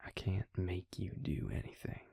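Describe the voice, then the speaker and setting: A man playing a worried, protective, gruff but caring vampire in an intimate audio roleplay, with a breathy, gravelly murmur and a halting, earnest, pleading manner. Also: low-pitched, animated, warm tone, no echo, studio quality, heavily acted. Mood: exasperated, pleading.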